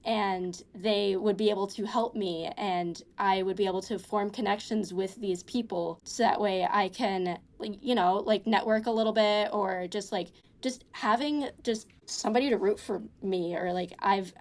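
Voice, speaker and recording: A woman's voice: medium pitch, normal speed, hardly any pauses, darkish tone. A young woman, a college student, talking casually in an interview, rambling with many fillers and restarts. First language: English. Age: young adult. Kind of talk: casual conversation.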